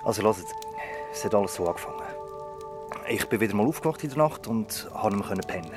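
A man's voice: on the low side, animated, quick pace, over music, close to an everyday voice. Actor as young man with a widely swinging voice. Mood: subdued, confiding. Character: Phil, young man, sleepless, embarrassed, defensive among friends. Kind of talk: naturalistic radio play dialogue.

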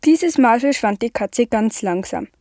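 Woman as storybook narrator, highish voice, measured scripted reading of a kids fantasy tale. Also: animated, darkish tone, no echo, studio quality.

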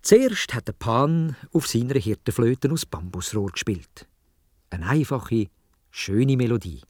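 A man's voice: medium pitch, expressive, measured pace. A man with a Swiss German accent telling a story for children in a dramatic way, addressing his listeners directly.

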